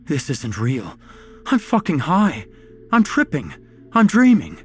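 A man's voice: mid-range voice, takes it slowly, slight background noise, voice pushed up, lightly acted. A man voicing a confused, frightened man at a party in a horror story, who begs and then bellows in panic. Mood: panicked.

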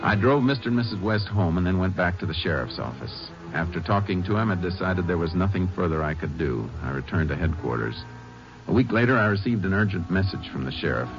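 An actor playing a laconic Texas Ranger who investigates and narrates, in a measured American voice in 1950s radio drama style. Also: male voice, sits low, animated. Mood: measured narrating. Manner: measured, matter-of-fact. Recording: muffled old radio recording, music behind.

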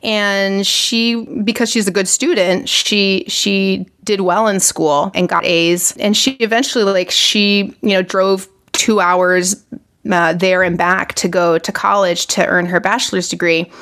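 A woman's voice: medium pitch, steady tone, unhurried, a bit bright. A woman from Argentina, a physician, talking casually in an interview with lots of "like" and "you know" and frequent restarts. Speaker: physician, podcast guest.

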